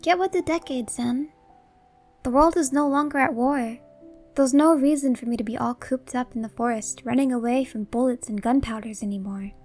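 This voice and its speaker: Ageless female shaman for audio drama, played by a woman: high voice, teasing, worldly, theatrical, over music. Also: moderate pace, a little mellow. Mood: breezy, assertive. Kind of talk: horror-comedy audio drama acting.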